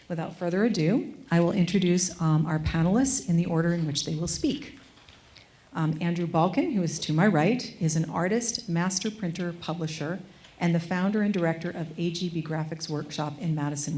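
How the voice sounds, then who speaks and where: Low-voiced woman introducing panelists. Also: a little mellow. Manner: measured, formal delivery with a few fillers. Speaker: museum curator moderating a panel. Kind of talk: reading prepared introductions. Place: museum event space, before an audience.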